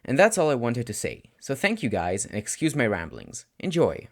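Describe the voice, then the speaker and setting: A man reading fan fiction aloud as an amateur audiobook narrator, in an expressive scripted style. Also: medium pitch, normal speed.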